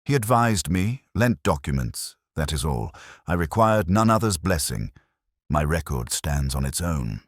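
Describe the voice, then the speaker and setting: A man's voice: extremely low pitch, big pitch swings, unhurried, no echo, studio quality, full character voice. A man voicing a composed, proud and defensive woman in an audiobook mystery, speaking firmly and formally. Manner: firm, formal.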